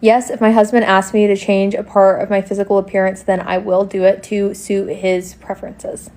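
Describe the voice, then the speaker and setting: A woman's voice: mid-range voice, level tone, moderate pace, darkish tone. A woman in her early twenties, a stay-at-home mom, stating her views plainly in a social media video. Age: early 20s.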